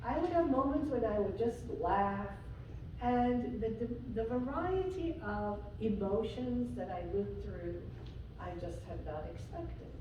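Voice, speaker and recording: A woman from Syria, a guest preacher, telling a personal story in a sermon with slow pauses and fillers. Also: fairly high pitch, animated, mellow, muffled recording, a little hiss or hum. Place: church sanctuary. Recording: echoey church from far off.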